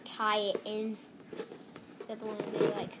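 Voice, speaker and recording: A woman's voice: medium pitch, completely flat delivery, a little slow. Young girl explaining over heavy noise. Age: child, about 10-12. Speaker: guest, host's cousin. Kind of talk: casual step-by-step explaining. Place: small bedroom at home. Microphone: phone or tablet camera nearby. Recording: very noisy home phone recording.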